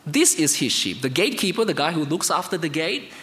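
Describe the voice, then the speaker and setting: A man from Indonesia, speaking English as his second language, preaching a sermon with emphatic highs and quiet lows. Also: very animated, fairly quick. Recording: reverberant hall.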